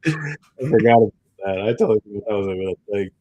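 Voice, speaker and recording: Male podcast co-host, lowish voice, loose and rambling, circles back over his own phrases in casual chat. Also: expressive, dark tone, lo-fi sound. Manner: halting, rambling, repeats phrases.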